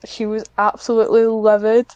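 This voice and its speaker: A woman talks casually. Her voice is clean and smooth, recorded very dry. She wanders off track and pokes fun at herself. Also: fairly high pitch, monotone, fairly quick, a little mellow, no echo, highs cut off. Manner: rambling, self-deprecating, easily sidetracked. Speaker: podcast guest, ASMR script writer. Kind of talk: casual chat.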